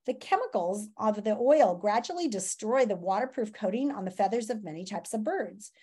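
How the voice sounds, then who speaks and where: A woman's voice: medium pitch, lively intonation, normal speed, dark tone, slightly rough. A woman reads a children's nonfiction book aloud like a teacher, expressively and dramatically, with asides and questions to her listeners. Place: small room at home.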